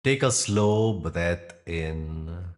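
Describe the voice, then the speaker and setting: A man guiding a yoga nidra meditation in a soothing, instructional manner with pauses between phrases. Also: low voice, lively intonation. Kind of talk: guided meditation narration.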